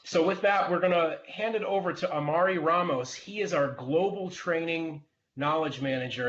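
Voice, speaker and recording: A man hosting a webinar presentation in a measured, businesslike way. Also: on the high side, lo-fi sound.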